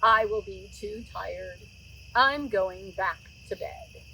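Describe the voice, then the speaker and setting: A woman's voice: mid-range voice, slow pace, bright, forceful tone, slight background noise, voice pushed down, low-quality recording. A woman voicing a sleepy, grumpy male toad in a storybook, drowsy, grumbling and reluctant. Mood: weary. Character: sleepy, grumpy male toad who wants his bed.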